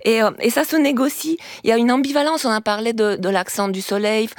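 Female expert guest, measured and explanatory, some vocal fry. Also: fairly high pitch, steady tone, no room sound, studio quality. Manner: measured, explains step by step with small restarts. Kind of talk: explanatory radio talk.

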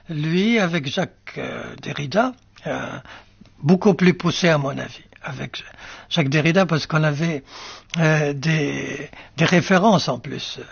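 An older male writer whose first language is Arabic, musing slowly in an interview with many fillers and restarts. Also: on the high side, vocal fry, slightly rough, dry recording, highs cut off. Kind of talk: reflective interview answers.

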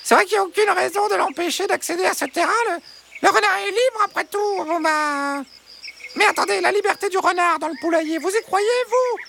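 A woman's voice: very high-pitched, fairly quick, vocal fry, a bit bright, slight background noise, exaggerated voice. A woman voicing a flustered, wary storybook hen who dithers and stammers in everyday colloquial speech. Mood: doubtful. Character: flustered, wary hens debating in a henhouse.

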